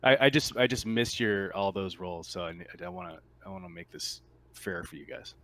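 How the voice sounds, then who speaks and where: A man running a casual tabletop roleplaying game as game master, explaining in a halting way with repeats and rephrasing. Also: deep voice, fairly bright tone, no room sound.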